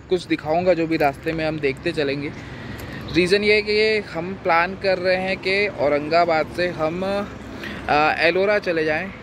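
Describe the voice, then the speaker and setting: A man in his thirties, a travel vlogger chatting casually to his phone camera with plenty of fillers, filming from a car at night and later from a hotel room. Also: fairly high pitch. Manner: chatty, talks straight to camera with many fillers. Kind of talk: casual travel vlog narration. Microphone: phone camera held at arm's length. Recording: noisy phone recording with road and car sound.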